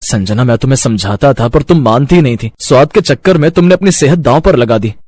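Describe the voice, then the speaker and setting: A man's voice: medium pitch, expressive, brisk, a little mellow, dry recording, lightly acted. Guy playing young chef husband in cartoon story, confident, emphatic, dry sound. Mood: reproachful.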